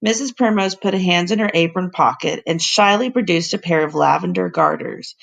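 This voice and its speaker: A woman reading a children's story aloud like a teacher, with measured, deliberate, expressive narration. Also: lowish voice, gravelly.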